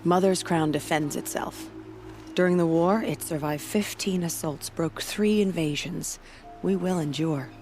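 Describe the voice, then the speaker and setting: An actress playing a proud female warrior who guards a border village, speaking in a solemn, steady and devout way. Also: on the low side, a little slow, soft background music.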